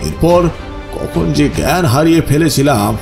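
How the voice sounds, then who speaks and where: A man's voice: on the high side, expressive, gravelly, music in the background. A man acting as the haunted narrator of a ghost story, telling his own ordeal in dramatic, suspenseful first person. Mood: eerie suspense. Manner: slow, suspenseful, with pleading stammering outbursts in dialogue.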